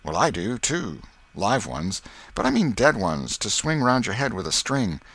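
Man playing an eager, folksy schoolboy, lively pitch. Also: unhurried, slightly rough, heavily acted. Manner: folksy, insistent, coaxing. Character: eager, boastful, pushy young schoolboy.

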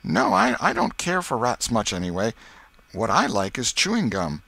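A man's voice: mid-range voice, wildly swooping pitch, normal speed, gravelly, bright, forceful tone, breathy edge, no room sound, pitched above the performer's own voice, heavily acted. A man voicing a shy, sweet, naive little schoolgirl in a storybook, with a timid, hesitant manner.

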